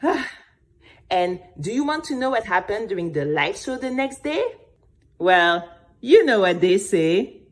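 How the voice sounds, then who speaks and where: A woman's voice: mid-range voice, very animated, a little slow. A woman voicing a nervous, dreamy young girl who tells her own story with dramatic, chatty asides. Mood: anxious, hopeful. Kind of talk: scripted first-person storytelling for children.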